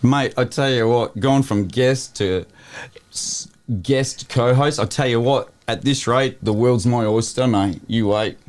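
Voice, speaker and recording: An Australian man banters as a guest co-host. His voice sits at a middling pitch. He is easygoing and joking, and he drops "mate" often. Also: measured pace, a little mellow. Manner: jokey, laid-back, full of "mate". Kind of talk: casual banter.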